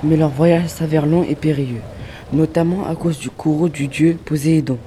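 Male narrator, slightly high pitch, scripted storytelling, clear background noise. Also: steady tone, normal speed.

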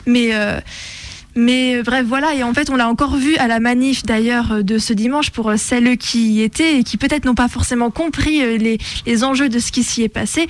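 A woman hosting unscripted radio talk in a hesitant, halting and wordy way. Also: fairly high pitch, no room sound. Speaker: radio show host. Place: radio studio.

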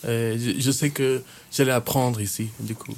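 A man's voice: mid-range voice, steady tone, normal speed, slight background noise. A man in his twenties who grew up in Kenya and works as a youth worker, answering interview questions in a hesitant way, with frequent fillers and restarts. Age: 20s.

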